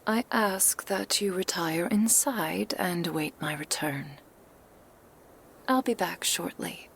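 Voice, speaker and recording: A woman's voice: lowish voice, slow pace, vocal fry, a bit bright, slight background noise, exaggerated voice. A woman playing a doting, possessive kitsune witch in a whispered ASMR roleplay, speaking tenderly in formal, archaic phrases. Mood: solemn, devoted.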